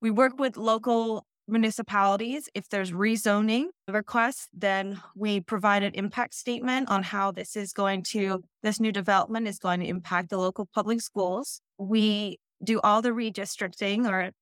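A woman originally from the Netherlands, in her thirties, answering interview questions in a measured, explanatory way. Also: medium pitch, steady tone, dry recording. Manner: measured, explanatory, occasional restarts. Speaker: school district planning head.

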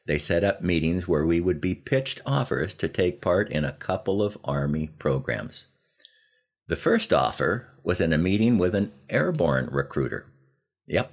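A man's voice: rumbling low, gravelly. An American man in his seventies hosting a podcast, telling personal stories from a script in a folksy, conversational way. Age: 70s. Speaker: podcast host.

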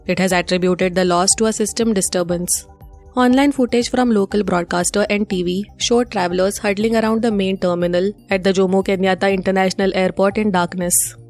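Indian lady reading the news, scripted presenter style, medium pitch, music going behind her. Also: steady tone, a little fast, darkish tone.

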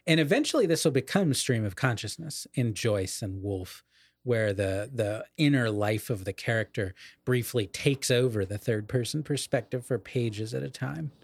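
A man's voice: mid-range voice, normal speed, husky, no echo. Erudite man explaining things, dry close recording. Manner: erudite, explanatory, qualifying his points. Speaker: podcast co-host explaining literature. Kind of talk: casual literary discussion.

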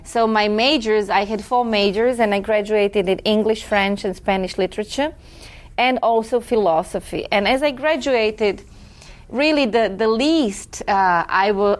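A woman speaks as an interview guest at a middle pitch. She talks in an unhurried, reflective way and corrects herself now and then. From Croatia. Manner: reflective and chatty, with occasional laughs and self-corrections. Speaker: guest, film festival director. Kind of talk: relaxed conversational interview.

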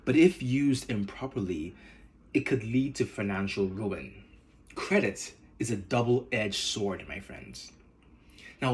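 A man from Nigeria with a Nigerian accent, hosting a podcast in measured, earnest scripted narration. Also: medium pitch. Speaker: podcast host.

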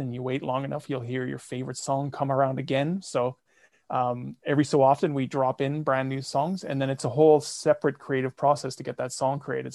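A man's voice: medium pitch, creaky, darkish tone, dry recording, clean studio sound. A man who is a musician and podcast guest, explaining steadily in casual chat from his home podcasting area.

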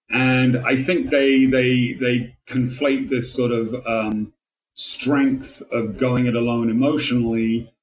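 A man giving measured, articulate interview answers as a guest financial planner, with somewhat formal wording. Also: mid-range voice, rough recording.

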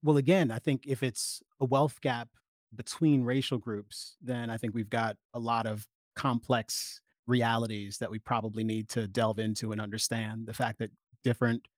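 A man speaking as an interview guest, making an explanatory argument in measured, articulate long sentences. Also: medium pitch, little pitch movement, dry recording.

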